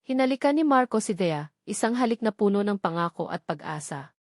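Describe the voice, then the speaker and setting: A woman's voice: mid-range voice, animated, a little fast, no echo, clean studio sound. A woman reading a scripted romance story as its narrator.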